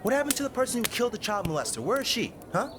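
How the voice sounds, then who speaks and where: A man's voice: very high voice, big pitch swings, racing, noisy background. A man playing an anguished, idealistic teenage American boy in a fantasy audio drama, speaking with stammering, slangy intensity. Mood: righteous anger.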